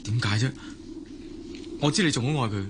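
A man's voice: medium pitch, normal speed, noisy background, lightly acted. An actor playing a well-meaning, apologetic young man in a radio drama, earnest and persuasive, with a Hong Kong Cantonese accent.

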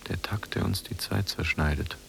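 A bitter, haunted prisoner in a radio play. His delivery is flat and brooding, with extreme gravelly fry. A faint hum lies under the recording. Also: low voice, normal speed, exaggerated voice. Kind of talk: literary radio play acting.

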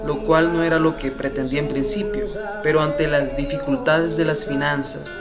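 A man reading a scripted report in a measured, even way. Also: on the high side. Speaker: narrator of a report. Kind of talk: scripted narration. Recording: voice-over with loud music behind.

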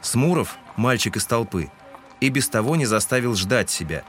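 A man's voice: medium pitch, lively intonation, moderate pace, fairly bright tone. A man giving scripted literary narration in an audio drama. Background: music.

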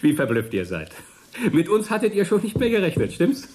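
An actor playing a jovial, smug space program chief in a German radio play, with a hearty, patronizing manner. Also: male voice, high voice, wildly swooping pitch, fairly quick, a little mellow, a little hiss or hum. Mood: smug amusement.

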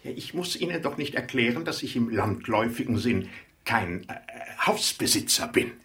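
A self-important male chairman in a radio play, a little away from the microphone. His voice is bright and forceful and swings animatedly in pitch. He is officious and easily flustered, with hesitant fillers breaking up his bluster. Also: expressive, far from the mic. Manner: pompous, officious, hesitating with filled pauses, increasingly exasperated. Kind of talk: 1980s German radio drama acting.

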